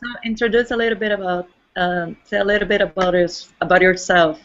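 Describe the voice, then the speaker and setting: An interview host who introduces the guest and asks questions with halting starts. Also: mid-range voice, steady tone, a bit bright. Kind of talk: interview hosting. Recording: muffled lo-fi recording.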